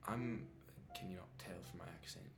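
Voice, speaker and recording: A man's voice: low voice, fairly quick, vocal fry, music in the background. A man playing an awkward English postman in an improvised podcast sketch, stammering and hesitant. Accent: put-on English.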